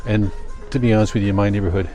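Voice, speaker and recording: An older man with a Canadian accent, chatting casually in a rambling vlog, thinking aloud in a halting, self-correcting way. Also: lowish voice, fairly even intonation, dark tone, faint music behind. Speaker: vlogger.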